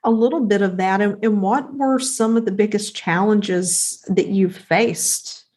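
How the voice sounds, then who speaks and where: A woman hosts an interview podcast, introducing her guest and then handing over with open questions. She talks in a relaxed conversational way at a middle pitch. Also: a little slow. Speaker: podcast host. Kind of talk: interview hosting.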